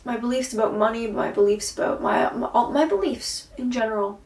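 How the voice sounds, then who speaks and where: A woman's voice: on the high side, brisk, darkish tone. A woman recording a rambling, introspective video diary, swearing and sighing often, with a voice she says is tired and her throat sore. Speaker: vlogger and singer. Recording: echoey room, far from mic.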